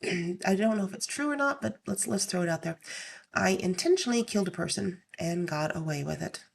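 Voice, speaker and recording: A woman with a slightly hoarse voice casually reading online stories aloud as host and commenting off the cuff. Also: on the low side, lively intonation, fairly quick. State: slightly hoarse, getting over illness.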